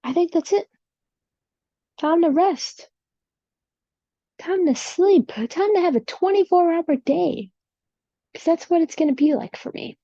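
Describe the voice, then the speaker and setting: A woman's voice: very high-pitched, a little slow, long pauses, dark tone, no room sound, muffled recording. A woman with a Chicago accent hosting her own podcast, talking casually and rambling with lots of ums. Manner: rambling and chatty, with many ums. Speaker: podcast host. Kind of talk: casual solo podcast chat. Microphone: home setup without usual equipment.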